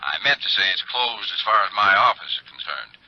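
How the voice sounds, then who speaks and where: A folksy older American sheriff, low and husky, with a swinging drawl. He sounds cautious and fatherly, on a muffled 1950s radio drama recording. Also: expressive, brisk, no room sound. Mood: wary, fatherly.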